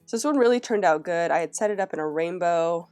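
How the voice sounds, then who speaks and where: A woman's voice: lowish voice, fast, a little mellow, smooth, soft background music. A woman narrating a casual flip-through of her planner, her throat scratchy from a cold, chatting along with fillers and restarts. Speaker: planner vlogger.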